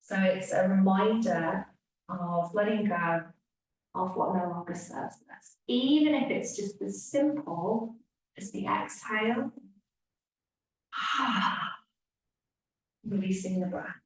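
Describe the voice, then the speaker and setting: Slow woman guiding relaxation in echoey room. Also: mid-range voice. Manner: gentle, hushed phrase endings, long pauses. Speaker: yoga nidra teacher. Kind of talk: guided relaxation meditation. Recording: echoey room, distant mic, faint hiss.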